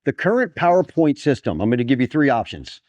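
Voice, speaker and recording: A man's voice: medium pitch, big pitch swings, a little fast, darkish tone, no echo, highs cut off. A middle-aged man hosting a casual sports interview, chatting and putting questions to his guest. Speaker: podcast host interviewer.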